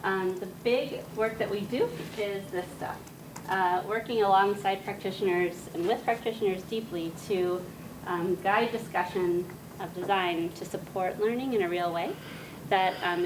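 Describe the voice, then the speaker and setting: A woman giving an academic talk to an audience, soft-spoken and pausing often with "um". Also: medium pitch. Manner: soft-spoken, frequent "um" pauses. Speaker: guest speaker. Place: lecture room. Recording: distant mic with noisy room.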